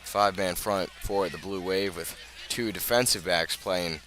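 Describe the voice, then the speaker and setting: Male American sports announcer, lower-pitched but very bright and forceful, live radio football play-by-play, outdoors at the stadium. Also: fairly even intonation, soft background music. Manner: measured scene-setting, rising to urgent calls.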